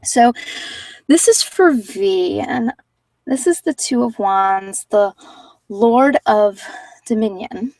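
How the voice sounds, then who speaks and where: A woman's voice: mid-range voice, a little mellow, dry recording, recorded from a distance, low-quality recording. A woman talking in a casual, conversational way as she hosts an informal tarot card reading. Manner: conversational, informal, anecdotal asides.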